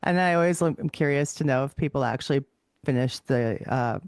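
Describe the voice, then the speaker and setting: A woman's voice: very deep voice, brisk, darkish tone, no room sound, studio quality. A woman with a Canadian accent hosting a podcast and asking questions in a casual interview style.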